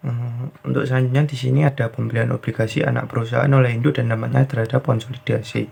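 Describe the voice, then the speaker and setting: A man's voice: medium pitch, flat delivery, fast, gravelly, a little mellow, breathy edge. A young adult man, reading through a prepared class presentation as a student presenter.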